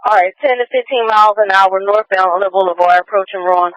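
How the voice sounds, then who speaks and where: A man's voice: sits high, little pitch movement, fairly quick, fairly bright tone, dry recording. A man working as a police dispatcher, relaying pursuit updates and orders over the radio and repeating them. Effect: police radio transmission. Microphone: radio dispatch microphone heard over scanner. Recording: dull narrow-band police scanner radio.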